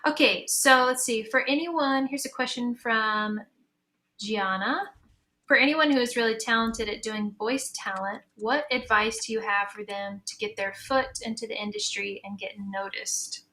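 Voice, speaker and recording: A woman's voice: a little high, moderate pace, sharp tone. A woman speaking casually on a panel, chatty and encouraging as she explains things and takes questions. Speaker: audio drama creator and panelist taking audience questions.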